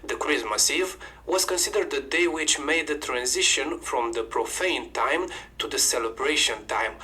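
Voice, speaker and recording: A man's voice: mid-range voice, normal speed, pushed and bright. A man with a Romanian accent narrating a scripted video about folk customs in an upbeat presenter manner.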